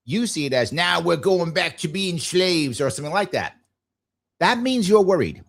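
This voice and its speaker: A man's voice: a little high, animated, normal speed, creaky. A man hosting a live talk show, giving blunt, argumentative commentary and repeating phrases emphatically to drive points home.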